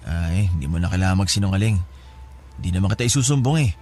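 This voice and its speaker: An actor playing a casual, scheming in-law who offers help with strings attached, familiar and coaxing, in a Filipino radio drama. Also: male voice, low voice, animated, fast, darkish tone. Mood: friendly, coaxing. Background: music bed.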